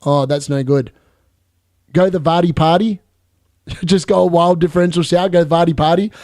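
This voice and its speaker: A man in his late thirties hosting a live fantasy football stream, chatty and excitable, laughing often as he answers viewer questions. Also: on the high side, moderate pace, no echo, studio quality. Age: 30s.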